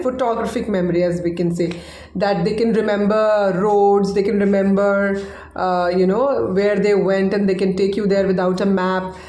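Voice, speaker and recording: A woman's voice: mid-range voice, warm tone. A woman whose first language is Hindi, teaching a casual online English lesson in a chatty way and often checking in with "right?". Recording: echoey room.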